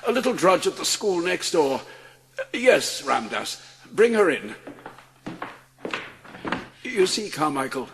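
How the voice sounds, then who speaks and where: A man playing an ailing, anxious and kindly gentleman with a British accent, weary and formal, in an audiobook drama. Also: a little high, very animated, takes it slowly, long pauses, long reverb tail, slight background noise, exaggerated voice. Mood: kindly, agitated.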